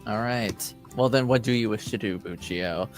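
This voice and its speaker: A man running a tabletop game as game master, narrating conversationally and often pausing to repeat or rephrase himself. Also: lowish voice, soft background music.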